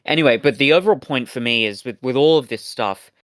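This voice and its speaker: A man co-hosting a casual review chat, speaking in an informal, reflective and halting manner. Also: mid-range voice, a bit bright, no echo. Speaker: podcast co-host reviewing audio drama.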